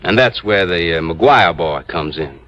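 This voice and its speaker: An actor playing an insistent, tight-fisted small-town insurance agent with a folksy, hesitant manner in an old radio drama. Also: male voice, low-pitched, animated, unhurried, fairly bright tone. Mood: explaining. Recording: dull vintage radio recording.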